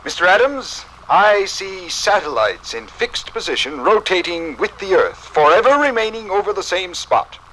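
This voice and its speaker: An actor playing a pompous, precise professor from the future in a 1950s radio drama, with formal diction. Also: male voice, high voice, very animated, normal speed, hardly any pauses, bright, forceful tone, slight background noise, heavily acted, low-quality recording. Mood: lofty, proclaiming.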